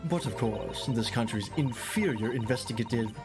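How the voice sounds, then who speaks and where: A streamer voicing a haughty English gentlewoman witness in a British accent, prim and condescending. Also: mid-range voice, normal speed, lightly acted. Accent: British, put on. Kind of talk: reading game dialogue aloud. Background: game music.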